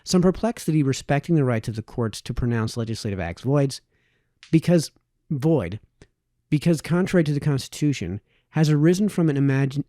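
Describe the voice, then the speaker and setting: A man narrates a formal old text with measured, emphatic phrasing. His voice is relaxed and mellow, and his pitch moves up and down in a lively way. The recording is close and dry. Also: dry recording. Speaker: reader, narrator. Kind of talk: read-aloud of a historical political text.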